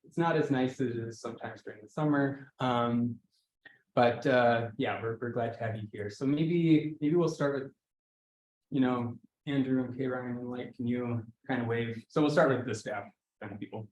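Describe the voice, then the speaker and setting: A man's voice: mid-range voice, moderate pace, darkish tone, dull audio. A man giving an informal, loosely worded introductory talk to students as the organizer of a summer school. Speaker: assistant professor leading a summer school. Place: indoor lecture room. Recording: echoey room.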